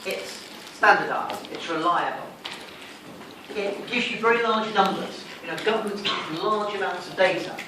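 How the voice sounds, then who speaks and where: High-voiced male lecturer in an echoey room. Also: moderate pace. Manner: didactic, asks and answers own questions. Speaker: sociology lecturer. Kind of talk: classroom revision lecture. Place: lecture room, recorded from a distance. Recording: echoey room, distant mic, noisy.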